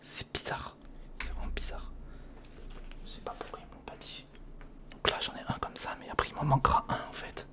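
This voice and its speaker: A man speaking in a breathy whisper, giving informal ASMR commentary while building something, muttering half to himself and half to the viewer. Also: moderate pace, long pauses. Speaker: ASMR video creator.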